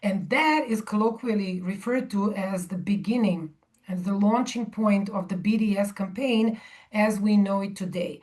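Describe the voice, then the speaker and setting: A woman in her forties, originally from Serbia, speaking to a live audience in a measured way with frequent pauses and restarts. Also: medium pitch, a little mellow. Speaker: guest speaker.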